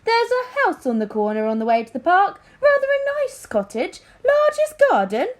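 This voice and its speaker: A woman's voice: very high-pitched, huge pitch swings, moderate pace, voice pushed up, heavily acted. A woman voicing a pompous king with an airy, affected, off-hand manner, as in a storybook reading. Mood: feigned nonchalance. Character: pompous, airy king feigning casual indifference.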